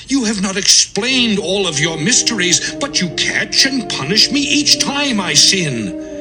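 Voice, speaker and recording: Anguished man crying out to heaven; high, very animated, impassioned scripture drama over music. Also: exaggerated voice. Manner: impassioned, lamenting, dramatic delivery. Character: anguished suffering man pleading with God. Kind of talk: dramatised Bible audio acting.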